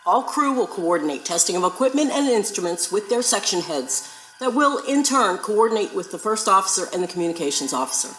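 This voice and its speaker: A woman playing a confident, good-humoured starship captain in a science fiction audio drama, brisk and commanding. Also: medium pitch, very animated, a bit bright, slight background noise, poor audio. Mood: formal, authoritative.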